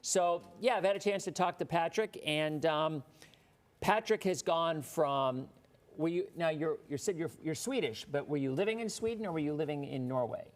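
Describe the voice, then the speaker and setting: Host: talkative man with a slight lilt, fairly high voice, easygoing live-TV patter. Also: moderate pace. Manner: chatty, loose, greets viewers by name. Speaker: weather show host. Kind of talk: casual live TV interview chat.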